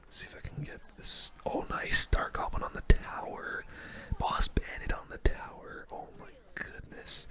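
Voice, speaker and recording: A young man delivers ASMR gaming commentary entirely in a breathy whisper, close to the mic. The sound is crisp and bright, with no real voicing. His delivery is casual and slangy as he reacts to the play. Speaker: gaming video host.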